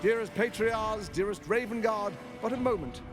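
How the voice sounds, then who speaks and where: An actor playing a flamboyant, charming courtly herald in a video game, with a florid, flattering manner. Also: male voice, sits high, moderate pace, lightly acted. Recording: music behind the voice.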